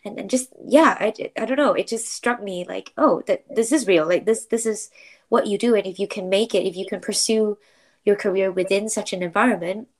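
A young woman from Malaysia, chatting as a podcast guest in a middle-pitched voice. She talks freely, laughs often, and fills her sentences with "like" and "you know". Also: fairly quick. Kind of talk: casual interview chat.

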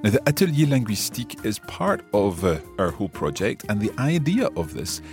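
A man hosting a podcast as a French teacher, explaining a course in a measured, explanatory way and slipping between English and French. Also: on the low side, very animated, a little mellow, soft background music. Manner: measured, explanatory, with some restarts.

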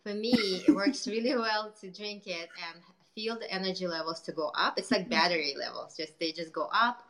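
A woman's voice: medium pitch, moderate pace, fairly bright tone, rough recording. A woman entrepreneur chatting in an interview, talking loosely with many fillers and restarts. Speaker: guest entrepreneur. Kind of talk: casual interview chat.

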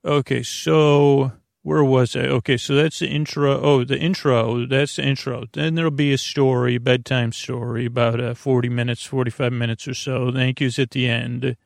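A man hosting a bedtime podcast in a casual, gentle, reassuring ramble, halting and interrupting himself as he trails off mid-thought. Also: flat delivery, no room sound, clean studio sound. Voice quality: gravelly, creaky. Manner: gentle, reassuring, low-pressure. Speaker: podcast host.

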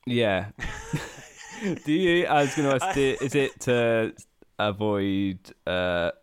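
A man's voice: medium pitch, animated, slow pace, slight background noise. A man hosting a podcast, easy-going, laughing often and asking short questions in a casual interview. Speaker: podcast host.

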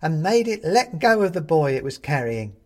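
A man voicing an excitable female ghost of an old Londoner in an audiobook, crying out and scolding. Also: a little high, moderate pace, pitched above the performer's own voice. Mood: fierce scolding. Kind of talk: audiobook character voice.

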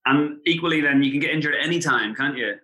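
A man's voice: a little high, rough recording. A man with an Irish accent, probably in his thirties, chatting animatedly as a podcast guest about his travels. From Ireland. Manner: animated, chatty, quick asides. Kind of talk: casual podcast conversation.

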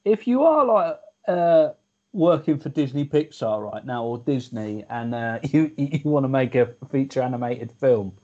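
A man's voice: medium pitch, animated, a little slow, warm tone. A man co-hosting a casual comedy podcast, giggly and prone to stammering. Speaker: podcast co-host. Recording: dull lo-fi sound.

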